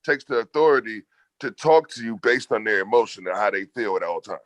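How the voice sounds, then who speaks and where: A middle-aged man with a bass-heavy voice, arguing loudly and assertively in a casual panel debate. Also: lively intonation, fairly quick, no room sound. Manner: loud, assertive, argumentative. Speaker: podcast panelist.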